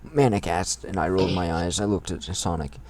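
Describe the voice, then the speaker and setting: A man's voice: sits low, a little fast, a little mellow. A man reading fan fiction aloud in a mocking, irreverent way, tossing in crude comments of his own.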